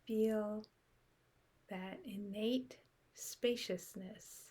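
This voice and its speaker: A woman guiding a meditation in a soft, unhurried way with long pauses, her breathy voice often trailing off into a whisper. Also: medium pitch, steady tone. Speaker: meditation guide. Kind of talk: guided meditation.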